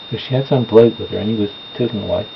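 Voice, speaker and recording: Rambling man, gravelly voice. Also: on the low side, rapid-fire. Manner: chatty, meandering, self-deprecating asides. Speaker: vlogger doing makeup. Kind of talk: rambling casual chat. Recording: dull lo-fi home recording with noise.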